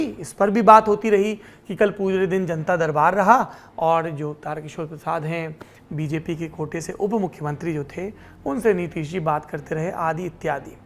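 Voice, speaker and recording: A woman presenting explanatory political news commentary as a news presenter. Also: on the low side.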